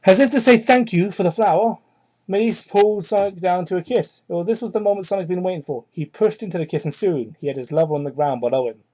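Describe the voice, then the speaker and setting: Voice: quick pace, warm tone. A young adult reading fan fiction aloud in a mock-dramatic way, with sweary, sardonic asides, on a cheap microphone. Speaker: reader and commentator. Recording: muffled low-fidelity cheap microphone.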